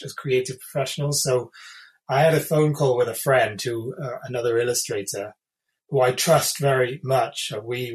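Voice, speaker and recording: A man's voice: medium pitch, rough recording. A man in his thirties from the UK, an illustrator talking casually in an interview, thoughtful and hesitant with many fillers and restarts. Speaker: illustrator, guest.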